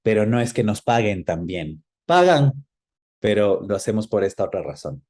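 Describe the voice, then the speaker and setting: A middle-aged man with an Ecuadorian accent, reminiscing conversationally as an interview guest and pausing to search for words. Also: fairly low pitch, lively intonation, a little mellow. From Quito, Ecuador.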